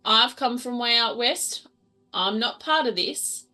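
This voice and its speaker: Bright, high-voiced woman, playful and sweary. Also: expressive, slow pace. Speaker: tabletop role-playing game player. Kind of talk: casual in-character improvised game talk. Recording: music behind the voice.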